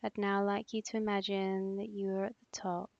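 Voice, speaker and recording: A woman leading a guided meditation in a soothing, instructing manner, with long pauses and breathy phrase endings. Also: mid-range voice, little pitch movement, a little mellow, no echo. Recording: slightly muffled, dull close dry recording.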